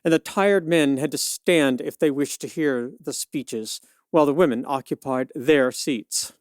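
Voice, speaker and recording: A woman's voice: rumbling low, measured pace, darkish tone, no echo. A woman acts out a suffragist lawyer from 1911 in a radio play. She debates in an articulate, indignant voice, firm and exact in her arguments. The recording is close and dry. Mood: firm, assertive.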